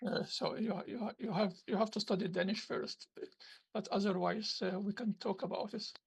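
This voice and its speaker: A woman chairing an online academic conference session, thanking speakers and introducing the next one with halting, repeated words. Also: mid-range voice, steady tone, vocal fry, a little mellow, a little hiss or hum.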